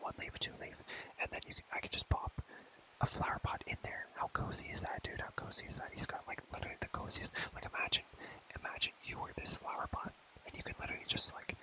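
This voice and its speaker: A young man whispering a casual, rambling ASMR gaming commentary, often repeating phrases and using relaxed slang. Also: rough recording. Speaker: ASMR video creator.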